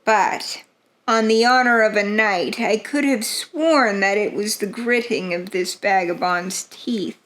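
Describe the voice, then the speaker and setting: A woman's voice: mid-range voice, slow pace. A woman playing a tyrannical, blustering king who loves crude jokes, in a dramatic gothic story reading. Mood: relieved. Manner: imperious, loud exclamations.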